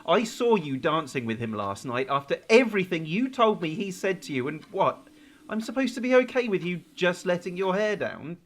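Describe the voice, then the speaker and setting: A man's voice: high-pitched, very animated, normal speed. An actor playing a troubled young man in an audio drama, apologetic and anguished, speaking in hesitant, stammering bursts. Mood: angry, sarcastic. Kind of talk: naturalistic audio drama acting.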